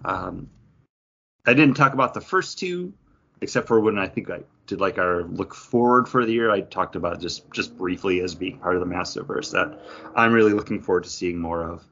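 A man's voice: low voice, normal speed, creaky, a bit bright, dull audio. A man talking casually about comic books as a podcast co-host. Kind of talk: casual comic book preview talk.

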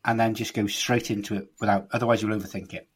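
A man's voice: lowish voice, flat delivery, quick pace, husky. A man co-hosting a podcast, coaxing and teasing his co-host as he steers the chat. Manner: coaxing, teasing, leads the segment. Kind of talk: casual chat.

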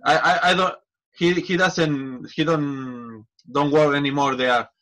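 A man, a football coach, talking in a casual interview in halting non-native English with many restarts. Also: on the high side, steady tone, sharp tone, rough recording.

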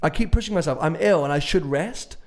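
A man hosting a casual podcast while ill, his voice hoarse and gravelly, chatty and often laughing at himself. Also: on the high side, expressive, fairly quick. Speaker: podcast host. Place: unfinished office showroom, indoors.

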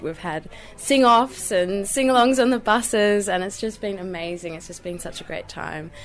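Young woman with a lowish voice. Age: 20s. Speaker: pageant contestant, interviewee. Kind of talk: casual interview chat.

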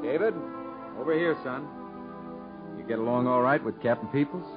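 An actor playing a gentle, tentative father speaking to his son in a 1950s radio drama. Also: mid-range voice, normal speed, natural voice. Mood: tender, tentative. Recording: muffled vintage radio recording with music.

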